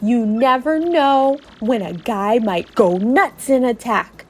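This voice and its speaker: A woman voicing a gruff, streetwise little mushroom creature in a video game read-aloud, slangy, blunt and wary. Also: a little high, slow, faint music behind. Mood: warning, blunt.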